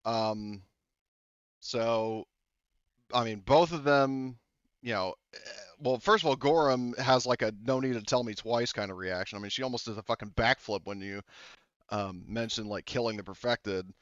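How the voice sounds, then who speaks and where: A man's voice: mid-range voice, normal speed, clean tone, no echo. A man acting as game master, talking casually with lots of fillers and restarts. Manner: casual, rambling with many fillers. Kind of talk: running a tabletop roleplaying game. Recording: dry, slightly dull lo-fi audio.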